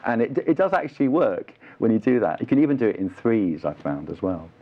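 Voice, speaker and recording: A man's voice: fairly low pitch, sing-song, a little fast. A British man, an actor and drama teacher, giving earnest, explanatory answers in a conversational interview. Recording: dull, muffled lo-fi audio.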